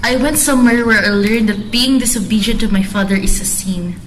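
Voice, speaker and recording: A woman's voice: fairly high pitch, a little fast, slight background noise, lightly acted. A young woman playing a troubled daughter, outwardly obedient and inwardly fearful, in a student radio drama. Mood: penitent. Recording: roomy echo, music underneath.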